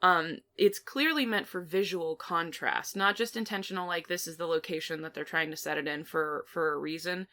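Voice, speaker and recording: A woman's voice: lowish voice, normal speed, few pauses, sharp tone. A woman co-hosting a film review podcast, talking casually and informally with some mild swearing. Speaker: podcast co-host reviewing films.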